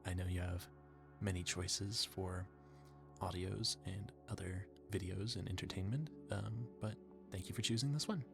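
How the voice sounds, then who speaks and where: A man's voice: deep voice, normal speed, creaky, over music. A young man chatting casually to his viewers in an informal, hesitant and self-effacing way. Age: young adult. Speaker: amateur voice actor, channel creator.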